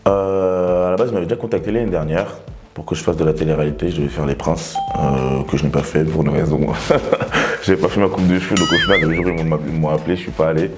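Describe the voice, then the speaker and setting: A man in his twenties, from Togo, answering interview questions in a relaxed, rambling way with lots of fillers. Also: extremely low pitch, fast talker, soft background music. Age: 20s. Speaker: reality TV contestant.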